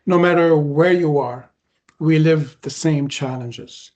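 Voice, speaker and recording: Lebanese guy hosting a podcast, arabic first language, kinda high voice, lots of uh pauses. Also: darkish tone, muffled recording. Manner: measured, frequent "uh" pauses. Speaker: podcast host. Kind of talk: casual podcast conversation.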